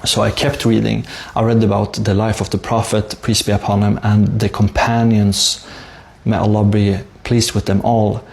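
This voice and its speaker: A man with a Swedish accent shares a personal story. His voice sits fairly low, with a heavy creak in it. He stays measured and earnest throughout, keeping the melody very level. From Sweden. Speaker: speaker sharing a personal conversion story.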